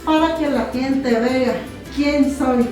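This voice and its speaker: Artesana zapoteca, voz lejana con eco. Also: female voice, sits high. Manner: proud, welcoming, measured. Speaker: artisan potter. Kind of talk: interview answers. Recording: echoey, from a distance, music.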